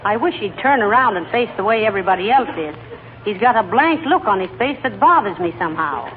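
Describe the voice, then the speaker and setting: A woman's voice: mid-range voice, expressive, fairly quick, lightly acted. A woman playing a sensible, dryly teasing, plain-spoken wife in 1940s radio comedy. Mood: wry. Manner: dry, wry, plain-spoken. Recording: tinny old radio broadcast, noisy audience.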